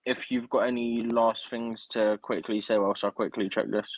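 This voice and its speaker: A man co-hosting a casual football stream, relaxed and explaining things plainly. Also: medium pitch, moderate pace, no room sound, poor audio. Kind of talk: casual live-stream chat.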